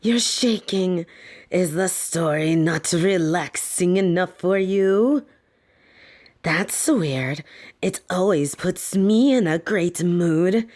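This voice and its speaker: A woman playing a sadistic, softly menacing lamia captor in a fantasy audio roleplay, talking with deliberate, teasing bedtime-story delivery. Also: on the low side. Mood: mock concern.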